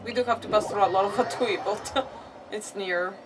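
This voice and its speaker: A woman casually commentating on a live game playthrough, thinking aloud in an informal, expressive way. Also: mid-range voice, normal speed, noisy background. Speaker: game streamer. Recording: distant-sounding mic with game music behind. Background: game music.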